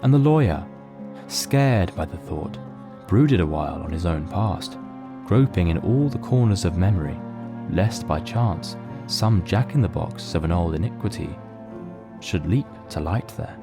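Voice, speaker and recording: A man narrating a mystery audio drama in a measured, solemn, literary manner. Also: sits low, expressive, over music.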